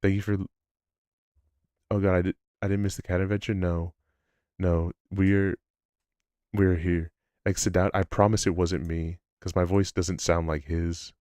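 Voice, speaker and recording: A man with a low voice chatting casually on a live stream, rambling and self-deprecating. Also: level tone, unhurried, pauses often, a little mellow, no room sound, studio quality. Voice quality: low-pitched voice. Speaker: livestreamer. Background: background music.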